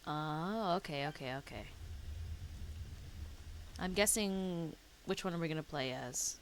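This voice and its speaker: A woman's voice: low-pitched, measured pace. A woman gaming streamer giving casual reaction commentary as she plays. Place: game audio and music behind the voice.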